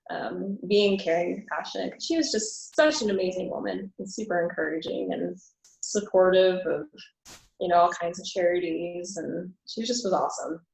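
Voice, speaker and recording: A woman speaks at an ordinary pitch in a soft, hesitant way. She fills her answers with pauses as she talks through an interview. The sound is roomy and echoing. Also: vocal fry. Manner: soft-spoken, hesitant with many fillers. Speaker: pageant contestant.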